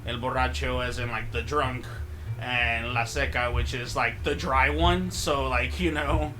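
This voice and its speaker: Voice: medium pitch, steady tone, a little slow, background noise. A tipsy man co-hosting a casual podcast, rambling, swearing freely and laughing a lot. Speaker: podcast co-host. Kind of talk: casual chat.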